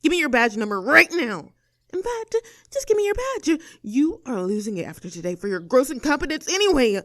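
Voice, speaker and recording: A woman's voice: high voice, big pitch swings, a little fast, a bit bright, no echo. Bratty young mean girl, acted by a woman, close and dry. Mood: outraged, demanding. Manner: bratty, sarcastic, indignant. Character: snooty, abrasive young mean girl under arrest. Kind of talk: acted audio roleplay drama, addressing the listener.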